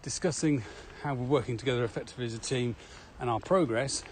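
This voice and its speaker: A man's voice: mid-range voice, fast talker. A man talking casually as co-host of a podcast. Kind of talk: casual conversational podcast chat. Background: steady ambient noise.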